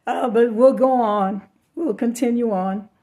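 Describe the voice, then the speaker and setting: Hoarse lady showing off her thrift haul, kinda high, soft mellow tone, lots of ums. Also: measured pace. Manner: rambling, many fillers and restarts. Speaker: reseller showing thrift hauls. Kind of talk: casual chatty haul video.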